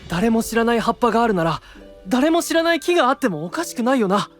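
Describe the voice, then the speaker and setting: A bright, curious boy knight, friendly and thoughtful. The voice is on the high side and very animated, in fantasy anime drama style with faint music underneath. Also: fast.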